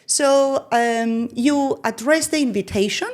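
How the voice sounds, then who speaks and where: A woman's voice: fairly high pitch, slow, a little mellow. A woman speaking as a podcast guest who explains ideas step by step and often checks in with "right?". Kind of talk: explanatory conversation.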